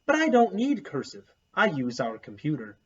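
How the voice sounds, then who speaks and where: A stubborn, pert young schoolgirl from a children's storybook, acted out by a man. The voice is raised and husky, with lively swings in pitch. The recording sounds a little dull. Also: normal speed, heavily acted. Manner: pert, self-assured. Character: stubborn, cheeky third-grade girl.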